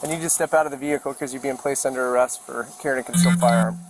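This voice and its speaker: An American policeman talks in a firm, patient and repetitive manner. His pitch sits in the middle range. Faint noise runs through the outdoor bodycam recording. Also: fairly even intonation, very fast, rough recording. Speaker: police officer. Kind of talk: talking during a roadside police stop.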